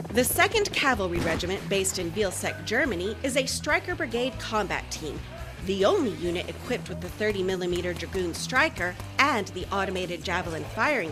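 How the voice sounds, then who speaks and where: A woman reading a scripted official promotional narration in a formal, measured announcer manner. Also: medium pitch. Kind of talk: scripted corporate military video narration. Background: music bed.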